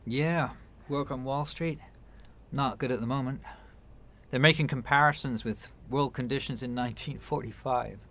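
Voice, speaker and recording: An actor in a radio play voicing a guarded, wounded New York man with a measured, wry delivery. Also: medium pitch, steady tone, fairly bright tone, lightly acted. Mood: somber, restrained.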